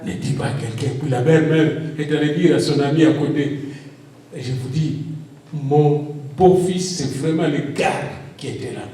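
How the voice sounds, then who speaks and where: A male pastor preaching a sermon in French that is not his first language, building to shouted refrains. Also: a little high, fairly even intonation, normal speed. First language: an African language. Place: church. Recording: echoey hall, voice from far off.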